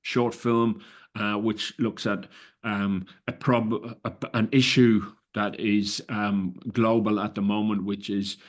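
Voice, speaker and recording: Irish festival director, middle-aged man, low-pitched, halting and searching for words. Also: level tone. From Ireland. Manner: hesitant, many fillers and restarts. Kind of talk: interview.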